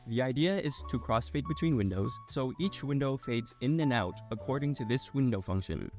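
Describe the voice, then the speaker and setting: A man's voice: mid-range voice, a little mellow. A young adult man reading a scripted technical explainer as a narrator.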